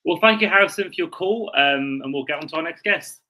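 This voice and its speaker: A man's voice: a little high, fairly quick, over a noisy crowd, rough recording. A man hosting a sports podcast in a casual, conversational way. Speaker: podcast host. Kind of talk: casual sports talk, introducing callers.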